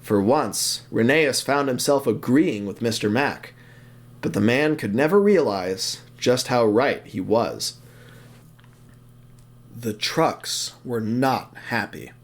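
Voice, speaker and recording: A man's voice: medium pitch, expressive, slow pace. A man narrating an audio drama as a scripted story, with theatrical, suspenseful phrasing.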